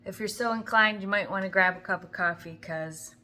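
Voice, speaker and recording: A woman's voice: medium pitch, brisk, pushed and bright. An older woman talks straight to her camera from her kitchen at home. She tells a long story in a chatty, wry, rambling way, with plenty of asides. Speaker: vlogger. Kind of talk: casual talking-to-camera story.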